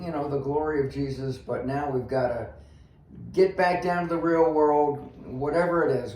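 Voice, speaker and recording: Echoey, halting older man talking scripture. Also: on the high side, a little mellow. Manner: halting, plain-spoken, thinks aloud with pauses. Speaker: guest on a church read-along discussion. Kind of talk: casual unscripted Bible discussion. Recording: echoey room, faint noise.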